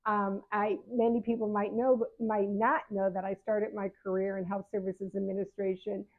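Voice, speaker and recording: A woman's voice: medium pitch, level tone, normal speed. A seventy-year-old woman giving frank, conversational advice to her viewers as a patient advocate, often correcting herself mid-sentence. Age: 70s. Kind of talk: casual advice talk to camera. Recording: phone-like narrow sound.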